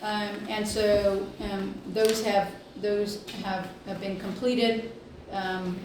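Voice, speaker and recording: A woman giving an informal report at a board meeting, speaking haltingly with many pauses. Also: mid-range voice, level tone. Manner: hesitant, halting delivery with long pauses. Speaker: healthcare district executive reporting to board. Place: indoor public meeting room. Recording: echoey room, distant mic, faint noise.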